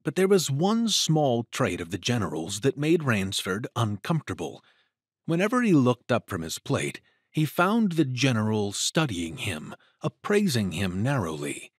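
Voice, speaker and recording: A man narrates a dramatic story in a husky, mid-pitched voice. His delivery is very expressive, in a close, dry recording. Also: a little slow, no room sound.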